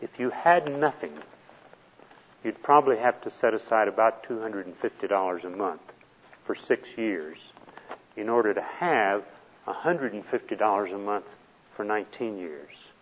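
A man's voice: fairly low pitch, moderate pace, long reverb tail, lo-fi sound, a little hiss or hum. A man teaching a retirement planning class, explaining step by step in a patient, plain way. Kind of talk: classroom lecture.

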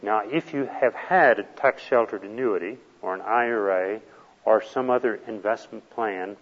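A man's voice: fairly low pitch, normal speed. Man lecturing on a dull old hall recording. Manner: plain, patient explaining, step by step. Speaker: retirement planning instructor. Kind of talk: classroom lecture.

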